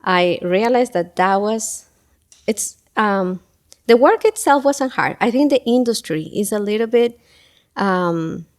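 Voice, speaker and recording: A middle-aged woman from Ecuador, talking as an interview guest in a thoughtful way with many pauses and fillers. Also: mid-range voice, animated. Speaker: guest, school event coordinator. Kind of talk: casual interview talk.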